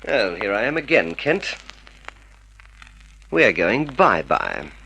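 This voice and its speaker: A man's voice: lowish voice, sing-song, slow, gravelly, very noisy, exaggerated voice. An Australian actor playing a cold, controlled young man plotting murder with his lover, in a mid-century radio drama style. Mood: gloating. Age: young adult. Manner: controlled, clipped, commanding.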